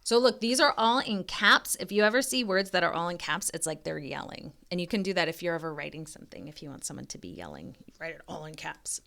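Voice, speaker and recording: Animated woman reading aloud, smooth clear voice. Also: fairly low pitch, fast talker, few pauses. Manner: expressive, teacherly, directly questioning the listener. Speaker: reading tutor. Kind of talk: modeled picture-book read-aloud with teaching asides.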